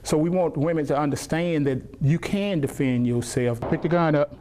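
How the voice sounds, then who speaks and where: A man's voice: a little high, moderate pace, dark tone. A man working as a self-defense and firearms instructor, giving practical instruction and explanations. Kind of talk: instruction and interview remarks.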